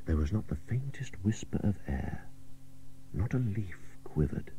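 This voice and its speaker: A man's voice: deep voice, animated, a little slow, warm tone, a little hiss or hum. A man reading an adventure story as a dramatic narrator, with a suspenseful, theatrical delivery. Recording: dull old radio cassette.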